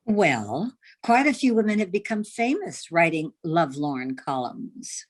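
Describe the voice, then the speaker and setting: A woman's voice: lowish voice, very animated, measured pace. A woman playing a headstrong, exasperated newspaper editor in an old-time radio comedy, emphatic and indignant. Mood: bickering.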